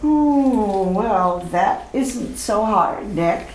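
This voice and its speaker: A woman's voice: medium pitch, animated, very slow, a little mellow. A woman playing a coy, sugary and coolly murderous bride in a retro radio mystery. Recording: roomy, distant, slightly noisy recording.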